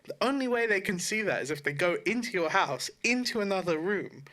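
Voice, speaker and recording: A man's voice: high-pitched, animated, a little fast, pushed and bright. A man co-hosting a podcast, chatting casually about video games in a blunt, joking, informal way. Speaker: podcast co-host.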